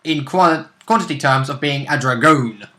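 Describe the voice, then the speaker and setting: A man's voice: mid-range voice, moderate pace, bright, forceful tone. A man giving casual, chatty commentary as the second commentator on a show.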